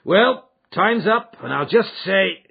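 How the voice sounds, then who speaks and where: A narrator acts out a big, bullying lodge boss from an old American crime story. The voice is husky and swings widely, pitched up and pushed loud. He is blunt and domineering, full of folksy menace. The sound is a bit dull. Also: animated, slow, full character voice. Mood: menacing. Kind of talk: audiobook character acting.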